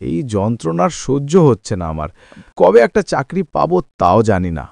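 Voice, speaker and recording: An actor playing a worried, dutiful young husband in a cartoon drama, speaking in an earnest, pleading way. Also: male voice, medium pitch, very animated, moderate pace, vocal fry, a little mellow, dry recording. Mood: worried, dejected.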